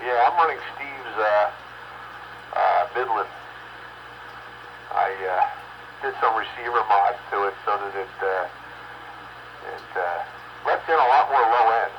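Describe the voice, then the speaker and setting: A man chatting casually in a halting, laid-back way as an amateur radio operator, on a stock radio microphone. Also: fairly low pitch, dull audio. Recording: noisy ham radio transmission with static.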